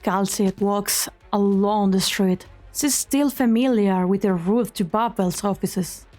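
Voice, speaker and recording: A woman reading scripted dramatic story narration in a measured, solemn manner. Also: medium pitch, warm tone. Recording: background music under the voice.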